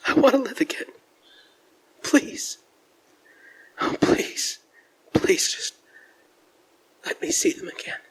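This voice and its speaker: A man's voice: extremely high pitch, animated, slow, pauses often, full character voice. A man playing a distraught family man in a 1940s-style radio drama, pleading and stammering with intense emotion. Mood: praying. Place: large church, performed live. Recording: live stage recording in a reverberant hall.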